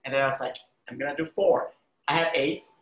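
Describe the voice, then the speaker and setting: Bright-voiced, earnest man from Honduras, echoey room. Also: medium pitch, steady tone, a little fast. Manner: earnest, emphatic, frequent "like" and "you know". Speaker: arts critic and educator, podcast guest. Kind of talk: conversational interview, personal storytelling.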